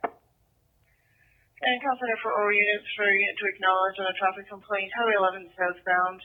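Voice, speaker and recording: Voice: medium pitch, fairly even intonation, normal speed, narrow, tinny audio. A dispatcher relaying calls and details over a two-way radio, heard through a police scanner. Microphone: radio transmission picked up by scanner.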